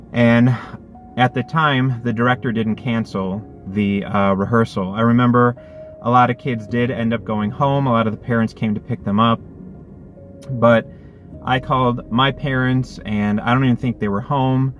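A man's voice: mid-range voice, lo-fi sound. A man in his thirties, a delivery driver, telling a story earnestly from a parked car, with lots of restarts. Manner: earnest, thoughtful, with many restarts. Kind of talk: casual reflective storytelling. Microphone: phone camera on dashboard.

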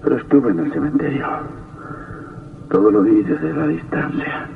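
An actor playing a polite, earnest young gentleman suitor in vintage radio melodrama, formal in his speech. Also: fairly high pitch, lively intonation, normal speed, vocal fry, a little hiss or hum. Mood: defensive, earnest. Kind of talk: vintage radio drama acting. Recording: tinny, distant old radio with music.

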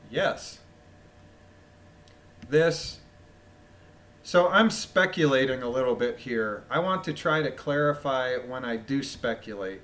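A man with a phlegmy voice reading a document aloud with casual, incredulous, mocking commentary, often clearing his throat. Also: fairly high pitch, a bit bright. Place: voice-over of a screen recording.

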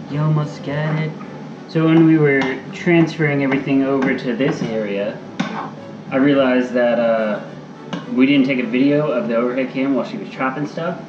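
A man's voice: medium pitch, background noise. A man helping his partner cook at home, coaching and teasing her in casual chat. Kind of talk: casual cooking vlog chat. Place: home kitchen, indoors. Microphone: camera across the kitchen, away from the mic. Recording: distant, echoey, dull kitchen audio with music.

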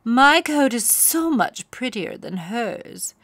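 A woman's voice: fairly high pitch, big pitch swings, takes it slowly, fairly bright tone, no echo, voice pushed up, exaggerated voice. A woman voicing Plumpkin, a snooty, jealous young pony, with a haughty, sneering manner. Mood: boastful.

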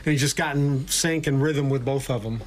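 A middle-aged man, a baseball manager, answering reporters' questions after a game in a measured way, with frequent fillers and restarts. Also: mid-range voice, a little hiss or hum. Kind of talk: postgame press conference answers. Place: indoor press conference room.

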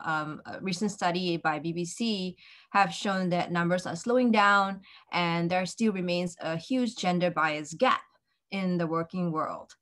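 A woman moderating a panel on a video call, speaking in a measured way with frequent fillers. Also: fairly low pitch, fairly bright tone.

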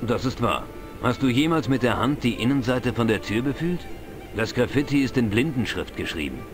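An actor playing an older, knowledgeable, wry pub regular in an adventure game, explaining things in a dry, measured way. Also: male voice, medium pitch, expressive, natural voice. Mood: dry, gossipy. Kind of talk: dubbed adventure game dialogue. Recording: music behind the voice. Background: game music.

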